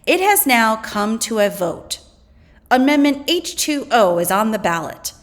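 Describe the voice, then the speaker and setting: A woman reads lesson material aloud as a teacher would. Her voice sits fairly high and moves up and down with lively expression. The delivery is scripted and explanatory, with key phrases acted out for the listener. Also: measured pace. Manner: expressive, acts out quoted slogans. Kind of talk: educational read-aloud of scripted text.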